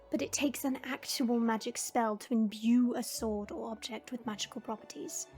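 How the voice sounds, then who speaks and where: A high-pitched, stern warrior woman from a fantasy audio drama, acted by a woman. She speaks in measured, formal phrases with blunt, dry authority and a caring core. Soft music plays behind her. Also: close to an everyday voice. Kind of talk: fantasy audio roleplay acting.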